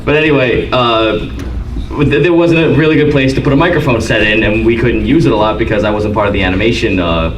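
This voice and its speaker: A man's voice: mid-range voice, fairly quick. Guy telling a rambly story on a con panel, far from the mic, bit of noise. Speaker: voice actor on a convention panel. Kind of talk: anecdote at a panel. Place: large convention hall. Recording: echoey hall from far off.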